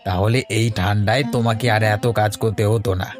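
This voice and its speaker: A man's voice: lowish voice, steady tone, fairly quick, music in the background, close to an everyday voice. A man voicing an easygoing younger farmhand nephew in a village cartoon. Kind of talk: cartoon voice acting.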